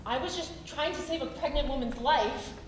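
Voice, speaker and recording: A woman's voice: high voice, normal speed, a little hiss or hum, lightly acted. A woman playing an earnest, pleading grown daughter in a live staged musical reading. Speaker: musical theatre actor-singer. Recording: echoey stage from far off, music behind.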